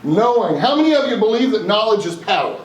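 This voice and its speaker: A middle-aged man with a Texan drawl speaks to a seminar audience in a folksy way, asking the room question after question. His voice sits a bit high and is picked up from a distance in a big echoing room. A faint hiss runs under it. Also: sing-song, fast talker, highs cut off. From East Texas. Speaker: investment speaker. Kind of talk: live seminar talk to an audience. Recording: roomy, distant, faint noise.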